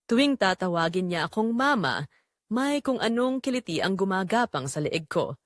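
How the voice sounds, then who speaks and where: A woman acts out a middle-aged mother-in-law, telling her own story of secret longing in an audio drama. Her delivery is confessional and measured, with a mid-pitched, very varied melody. The sound is dry and intimate, free of room echo. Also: big pitch swings, natural voice. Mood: confessional, yearning. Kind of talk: first-person dramatic storytelling.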